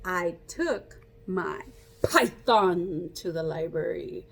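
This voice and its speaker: A woman reading a picture book aloud like a teacher, pausing to explain and ask young children questions. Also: on the low side, expressive, gravelly, fairly bright tone. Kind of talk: interactive children's read-aloud.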